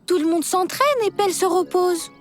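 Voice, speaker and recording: A woman voicing a teasing but practical young girl in a children's animated series. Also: very high voice, moderate pace, faint music behind.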